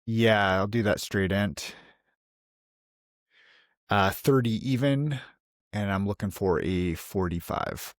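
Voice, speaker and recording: A man with a somewhat low voice plays in a tabletop game. He talks casually, explaining his moves in everyday language. The recording is dry, with no audible room. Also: slow pace, long pauses, no room sound.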